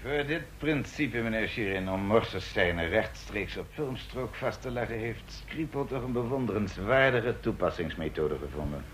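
An efficient, respectful assistant with a slightly deep voice. He speaks politely and formally in radio drama style, over a faint layer of noise from the old recording. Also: expressive, moderate pace, hardly any pauses, a bit bright. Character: respectful, efficient male technical assistant to a spy. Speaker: actor in a radio play. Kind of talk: Dutch radio drama acting. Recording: noisy vintage radio recording.

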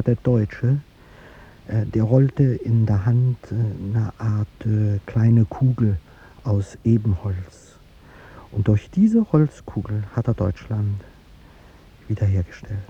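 An actor playing an aging, courtly statesman in a 1950s radio drama, speaking in a reflective, halting, wistful way. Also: fairly low pitch, creaky. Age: older. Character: aging male statesman, wistful, courtly, devoted to younger woman. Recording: dull, noisy 1950s radio recording.

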